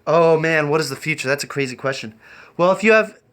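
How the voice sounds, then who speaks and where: A man chatting off the cuff on a livestream, rambling and halting in an eccentric way. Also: a little high, expressive, fairly bright tone. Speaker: live streamer and variety performer. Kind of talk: improvised livestream chat.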